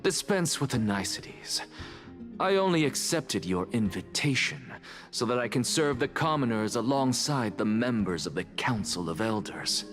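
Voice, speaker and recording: A man's voice: on the low side, fairly bright tone. A man voice-acting an arrogant, brilliant scholar in a video game, blunt, haughty and precise in his speech. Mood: curt, impatient. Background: music.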